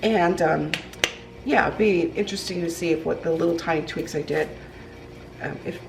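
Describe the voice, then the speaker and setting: A woman's voice: lowish voice, normal speed, gravelly, noisy background. Congested woman rambling in her kitchen. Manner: rambling, with many ums and restarts. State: congested, nasal from a cold. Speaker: vlogger. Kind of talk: casual chatty cooking vlog. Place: home kitchen. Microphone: camera propped on the counter.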